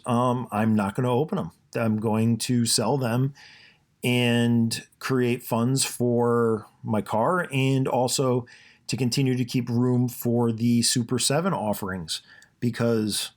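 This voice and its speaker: A man hosting his own podcast, talking casually and rambling, with a soothing voice and plenty of fillers and restarts. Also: medium pitch. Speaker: podcast host. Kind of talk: casual solo podcast monologue.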